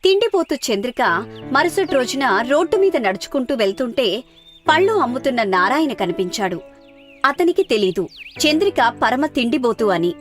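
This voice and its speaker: A woman's voice: high voice, sing-song, moderate pace, faint music behind. A woman narrating a scripted children's story and voicing its dialogue.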